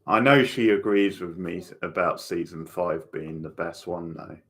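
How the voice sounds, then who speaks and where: A man's voice: deep voice, normal speed, a bit bright. A man giving casual live watchalong commentary as host, chatty and informal, reacting in short bursts.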